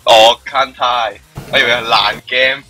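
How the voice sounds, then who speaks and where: A man's voice: mid-range voice, steady tone, a little slow, bright, forceful tone, soft background music, poor audio. A man who is a native Cantonese speaker, chatting casually as a streamer during a live game.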